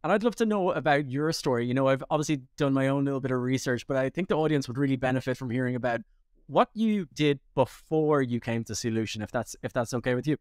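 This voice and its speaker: A man's voice: mid-range voice, fast talker, smooth, no echo, clean studio sound. Upbeat man hosting a podcast. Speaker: podcast host. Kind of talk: interview host on a video call.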